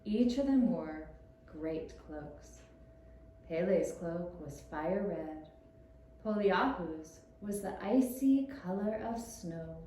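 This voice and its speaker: Woman storyteller for small children. Soft mellow tone, unhurried delivery, very roomy recording. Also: lowish voice, animated. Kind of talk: scripted storytelling for young children. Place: echoey room, puppet show performance. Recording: roomy echoing sound with faint hiss.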